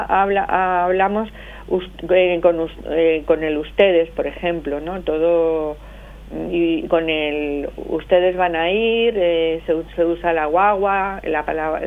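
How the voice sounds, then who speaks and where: A middle-aged woman from Madrid, with a Madrid accent, talks at length and with many pauses as a phone guest on a radio interview. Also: on the low side. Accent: Madrid Spanish. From Madrid, Spain. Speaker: association spokesperson, phone guest. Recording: tinny phone line.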